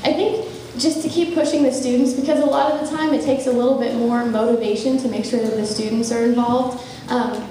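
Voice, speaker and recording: Young female student candidate, earnest and eager, mellow tone, recorded from far off in an echoey room. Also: a little high, fairly even intonation, a little fast, a little hiss or hum. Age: young adult. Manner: earnest, eager, speaks in fluent run-on sentences. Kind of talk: debate answers.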